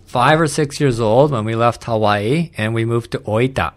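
A man from Canada, hosting a casual conversation and telling his own story in a relaxed, reflective way. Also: mid-range voice, normal speed.

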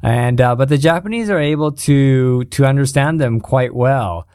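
A man from Canada in casual conversation, telling stories in an animated way and laughing easily. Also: medium pitch, normal speed, clear, resonant tone, dry recording, clean studio sound.

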